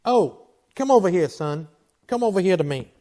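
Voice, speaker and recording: A steady Old West marshal played by a man in a western radio play, with a high voice for a man. He is blunt, commanding and folksy, with a dry wit under the sternness. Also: normal speed, noisy background. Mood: wry, gentle. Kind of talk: old-time western radio drama acting.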